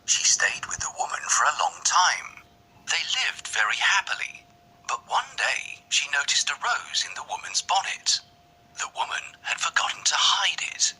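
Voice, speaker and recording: A man's voice: a little high, sing-song, moderate pace, pushed and bright, breathy edge, poor audio. A man reading scripted narration for a children's fairy tale as the storyteller.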